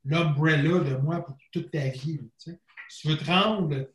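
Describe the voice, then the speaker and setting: A man's voice: fairly high pitch, moderate pace, reverberant, slight background noise. Rambling man in his forties. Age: 40s. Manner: rambling, reflective, mixes in English phrases. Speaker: guest, bodybuilding coach. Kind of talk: casual podcast conversation.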